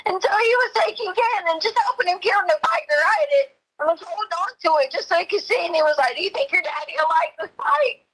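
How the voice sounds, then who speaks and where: High, bright-voiced woman on a phone call. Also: lively intonation, fast. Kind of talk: emotional personal account over the phone.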